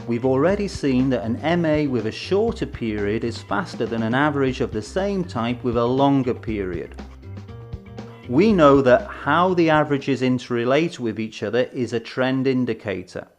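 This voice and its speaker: A man presenting an educational film in a scripted, measured, explanatory way with emphatic phrasing. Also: medium pitch, animated. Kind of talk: scripted instructional narration. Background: background music.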